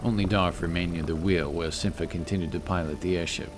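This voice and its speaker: A man's voice: low-pitched, fairly even intonation, a little fast. A man reading scripted fantasy narration as a storyteller, with music behind his voice.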